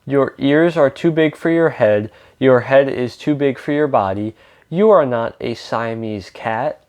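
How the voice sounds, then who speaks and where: A man voicing an excitable, cocky little kitten boy in a storybook, exclaiming with drawn-out words. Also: mid-range voice, lightly acted. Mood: cocky, excited.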